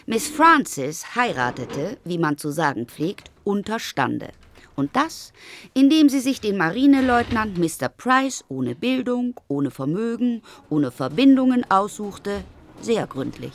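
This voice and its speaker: A proud, impoverished younger sister in a period radio play. Her mid-pitched voice swings expressively and makes its points firmly, over a faint background noise. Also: big pitch swings, unhurried. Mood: wry. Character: proud, hard-up younger sister, mother of many children. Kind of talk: German literary radio play acting.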